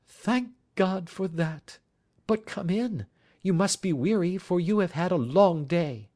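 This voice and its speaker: A man's voice: sits high, expressive, slow, a little mellow, voice pushed up. A male audiobook reader voicing an anxious, earnest Victorian wife who asks pleading, insistent questions.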